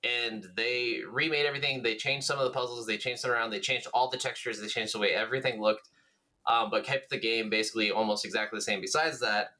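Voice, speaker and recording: A man with a bright, crisp-edged voice of average pitch co-hosts a gaming podcast. His delivery is informal and conversational, like chatting with a friend. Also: fairly even intonation, fast talker. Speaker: podcast co-host. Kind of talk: casual gaming podcast chat.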